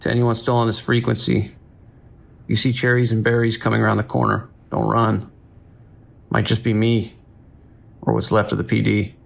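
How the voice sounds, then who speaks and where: A weary male police dispatcher with a low, gravelly voice. He sounds grim and procedural, keeping to a level, contained delivery as in a radio drama. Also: completely flat delivery, moderate pace, long pauses. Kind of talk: audio drama, emergency dispatch acting.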